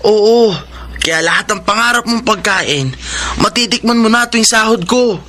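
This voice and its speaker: Bright, teasing big brother. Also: male voice, sits high, animated, moderate pace, a little hiss or hum. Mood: proud, excited. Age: young adult. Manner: teasing, upbeat. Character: cheerful, teasing, caring young elder brother. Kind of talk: radio drama acting.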